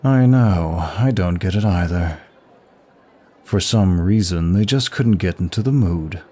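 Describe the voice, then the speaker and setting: Man voicing a deep, husky, languid demon of lust. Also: warm tone. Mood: smug, reminiscing. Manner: languid, teasing, drawn-out delivery. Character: suave, teasing male demon prince of lust, bored and sadistic. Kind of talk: ASMR roleplay acting.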